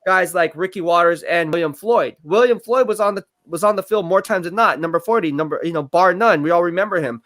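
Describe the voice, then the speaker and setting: A man with a high, bright-sounding voice runs a football live stream. He talks quickly and energetically, piling up fillers and repeated phrases as he breaks down plays. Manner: energetic, fast-talking, full of fillers and repeats. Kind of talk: casual sports analysis livestream.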